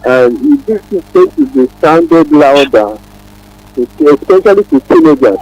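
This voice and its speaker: A man's voice: a little high, huge pitch swings, unhurried, creaky, a little mellow, muffled recording. A man with a Nigerian accent phoning in to a radio show, earnestly exhorting and repeating his words. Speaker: radio phone-in caller. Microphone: phone call.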